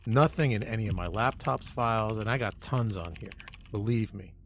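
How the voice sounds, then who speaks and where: A man's voice: fairly low pitch, moderate pace, a little hiss or hum, natural voice. A man playing a persistent amateur researcher with a casual, wry manner in an audio drama. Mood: intrigued, wry.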